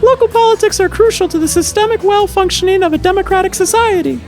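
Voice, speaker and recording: A woman's voice: very high-pitched, quick pace, a little mellow, faint music behind. A woman playing a precocious, earnest boy who speaks in wordy, formal, pompous phrases in a radio drama.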